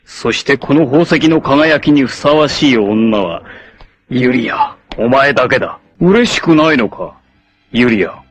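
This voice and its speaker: An actor playing an arrogant, obsessive warlord villain in a 1980s anime, haughty, menacing and theatrical in delivery. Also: male voice, mid-range voice, animated, measured pace, natural voice. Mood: possessive, imperious. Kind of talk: dramatic 1980s anime voice acting.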